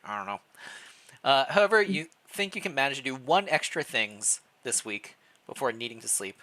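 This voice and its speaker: A man's voice: medium pitch, lively intonation, moderate pace, pushed and bright, rough recording. A man narrating fan fiction expressively as a streamer, mixed with casual chat. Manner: stumbles over words while reading aloud, self-deprecating.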